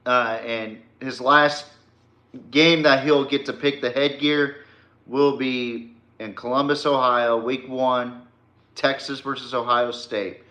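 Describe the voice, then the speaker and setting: A man's voice: mid-range voice, fairly even intonation, slow pace, bright, forceful tone. A man hosting a casual sports podcast, talking informally to a stream audience in a rambling, emphatic way. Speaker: podcast host. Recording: echoey room.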